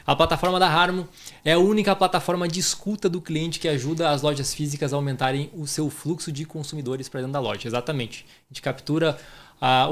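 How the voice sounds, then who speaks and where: A man with a southern Brazilian gaúcho accent, hosting a podcast in an effusive, informal way and speaking affectionately to listeners. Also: on the high side. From southern Brazil (Rio Grande do Sul). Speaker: podcast host, entrepreneur.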